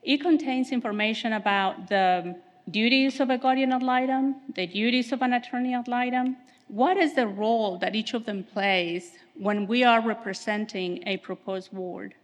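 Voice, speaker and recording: A woman attorney giving a measured, formal conference presentation in a soft voice. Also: on the high side. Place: conference hall. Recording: reverberant hall.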